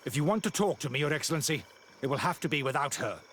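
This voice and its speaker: Game role: haughtily indignant male priest, very animated pitch, faint swamp frogs behind. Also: quick pace, a little hiss or hum. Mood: indignant, disdainful. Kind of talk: video game cutscene dialogue.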